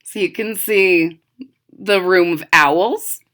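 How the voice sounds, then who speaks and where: A woman's voice: on the low side, a bit bright. A woman reading a children's story aloud expressively, stressing and drawing out key words and adding explanatory asides. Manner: dramatic emphasis, drawn-out key words. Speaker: storybook reader.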